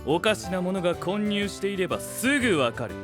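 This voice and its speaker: A stiff, suspicious young inventor in an anime audio drama. He is pompous and formal, high for a man, with swinging intonation and soft music behind. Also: sing-song, brisk. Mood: self-assured. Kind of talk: Japanese anime-style audio drama acting.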